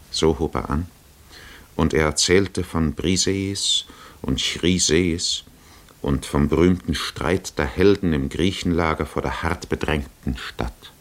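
An actor playing a solemn epic storyteller, narrating in a measured, poetic way in a 1950s radio drama. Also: male voice, very deep voice, full character voice.

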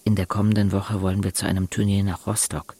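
A man's voice: deep voice, flat delivery, fast, dark tone, husky, no echo. An actor playing an introspective young man who narrates his own life matter-of-factly in a German audio drama.

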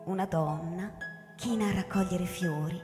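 A woman narrator giving a measured, theatrical literary reading for radio drama. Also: on the low side. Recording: echoey hall reverb, music underneath. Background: music.

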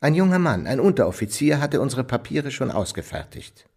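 An actor playing a chatty but uneasy traveling musician, husky and creaky, in a radio drama. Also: male voice, medium pitch, big pitch swings, brisk, darkish tone. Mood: uneasy relief. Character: anxious, chatty traveling musician newly arrived.

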